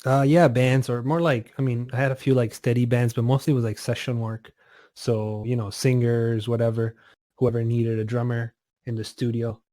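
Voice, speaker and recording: Man, twenties, from Italy, guest producer, casual, dark mellow tone, little pitch movement. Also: normal speed, no room sound, studio quality. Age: 20s. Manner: relaxed, laughs often, hesitant fillers. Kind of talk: casual interview over a call.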